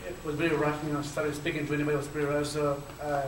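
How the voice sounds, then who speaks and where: Man, Chilean Spanish accent, stutter, many fillers, slightly high voice, far from the mic. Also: completely flat delivery, very fast. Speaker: panelist. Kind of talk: personal story at a panel discussion. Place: lecture hall. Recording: echoey hall from far off, faint noise.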